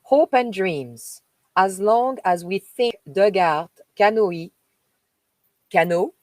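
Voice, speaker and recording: Slow French-accented woman reading aloud. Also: mid-range voice, animated, darkish tone, no echo. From France. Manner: slow, emphatic reading broken by chatty asides. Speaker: spiritual live-stream host. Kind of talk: reading aloud with spoken commentary.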